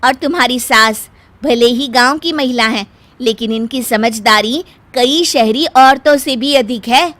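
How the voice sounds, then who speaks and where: A woman's voice: fairly high pitch, unhurried, a bit bright. A woman voicing a principled neighbour auntie in a family story, admonishing and emphatic.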